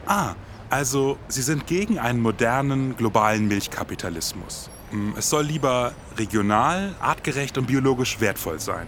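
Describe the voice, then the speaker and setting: A man's voice: mid-range voice, expressive, normal speed, hardly any pauses, a bit bright, slight background noise. A man co-hosting a podcast in scripted comic dialogue and interviews, with a conciliatory, eager manner. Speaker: podcast co-host.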